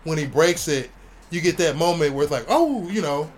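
A man's voice: fairly high pitch, lively intonation, moderate pace, a little hiss or hum. A man chatting casually about comics and movies, rambling with lots of slang and fillers. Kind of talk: casual comic book chat.

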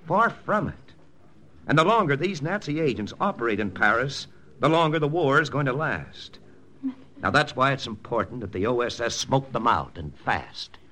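An actor playing a confident American army intelligence lieutenant in a 1940s radio drama, brisk, persuasive and matter-of-fact. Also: male voice, mid-range voice, animated, bright, forceful tone, a little hiss or hum. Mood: persuasive, firm. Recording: old radio broadcast with music.

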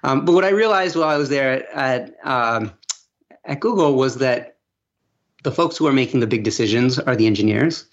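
A man from Venezuela, a guest on an interview, telling his story in a measured, casual way with fillers. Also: mid-range voice, expressive, highs cut off. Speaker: guest, education nonprofit founder.

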